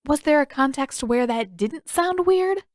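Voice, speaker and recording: A woman voicing a guarded, sharp-tongued teenage sister in an audiobook story, with a dry, teasing, pointed manner. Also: sits high, lively intonation, a little slow, dry recording, voice pushed up. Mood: curious, teasing.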